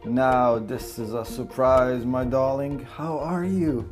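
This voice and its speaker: A man's voice: mid-range voice, steady tone, slow, over music, close to an everyday voice. A man reading a play aloud and voicing an effusive older landowner who fawns over his guest with endearments. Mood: gushing. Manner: gushing, calls everyone darling and angel.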